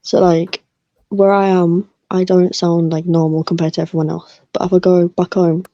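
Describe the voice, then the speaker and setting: A man's voice: high-pitched, little pitch movement, moderate pace, mellow, no echo, dull audio. A young man with a mixed Scottish and English accent, a podcast guest chatting casually and self-deprecatingly. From Scotland, then England. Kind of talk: casual chat.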